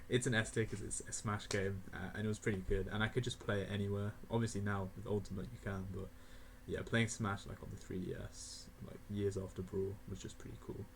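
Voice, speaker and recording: Rambling, lowish-voiced young man. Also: level tone, fairly quick, a little hiss or hum, low-quality recording. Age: young adult (18). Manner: rambling, laughing often, many fillers. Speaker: gaming video creator. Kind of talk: casual tier list commentary.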